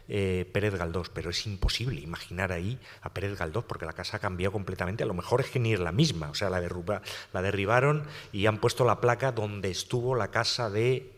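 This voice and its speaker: Low-voiced man musing in a hall. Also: brisk. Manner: reflective, rambling with restarts. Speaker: panelist writer. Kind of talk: round-table discussion. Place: lecture hall at a public panel. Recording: reverberant hall.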